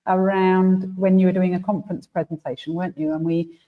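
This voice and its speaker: A female host talks in a relaxed, chatty way, often restarting and adding fillers as she leads the conversation. Her voice sits a little low. The sound is thin and tinny, like a phone or video call. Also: a little fast. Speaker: host and trainer. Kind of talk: casual conversational interview.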